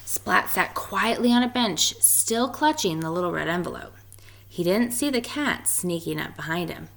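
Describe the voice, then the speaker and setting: A woman reading a children's picture book aloud in an expressive storytelling style. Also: fairly low pitch, normal speed, vocal fry. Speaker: story reader.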